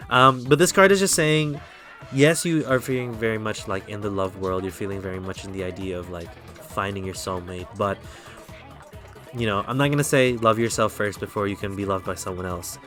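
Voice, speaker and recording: Streamer: low-voiced American-accented man, easygoing tarot reader who corrects himself mid-sentence, soft music under him. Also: normal speed. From Philippines. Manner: relaxed, rambling, self-correcting. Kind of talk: live-stream tarot reading.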